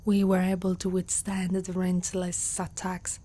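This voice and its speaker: A woman from Italy playing an older, protective female centaur warrior haunted by loss, in a soft, slow, breathy whisper for an ASMR roleplay. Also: on the low side, monotone, natural voice. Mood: reflective, somber.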